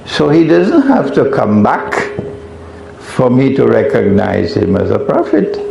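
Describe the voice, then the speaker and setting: Trinidadian man, scholar fielding hall questions, slow, mellow tone, very echoey room with faint noise. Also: medium pitch, very animated, gravelly, lo-fi sound. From Trinidad and Tobago. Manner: deliberate, emphatic, testy with questioners. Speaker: Islamic scholar lecturer. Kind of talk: lecture question-and-answer session. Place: large echoey lecture hall.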